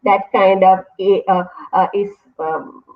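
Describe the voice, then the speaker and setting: A woman's voice: mid-range voice, steady tone, takes it slowly. A woman with a Sri Lankan accent, a university English lecturer, explaining her views thoughtfully in an online interview. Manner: thoughtful, explanatory, self-correcting. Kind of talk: academic discussion in an online interview. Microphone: video call. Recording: phone-like online call audio.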